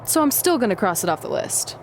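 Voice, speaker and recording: A woman's voice: medium pitch, big pitch swings, brisk, gravelly, slight background noise. A woman playing a supportive, down-to-earth young female wrestler in a video game cutscene, speaking casually and sincerely. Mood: concerned, supportive.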